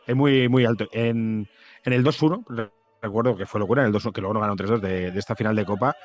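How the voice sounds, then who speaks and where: A man's voice: on the low side, quick pace, no room sound, highs cut off. A man from Madrid with a Spain Spanish accent, chatting anecdotally as a guest, often hesitating and repeating his words. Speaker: podcast guest, journalist and screenwriter. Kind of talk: casual anecdotal chat.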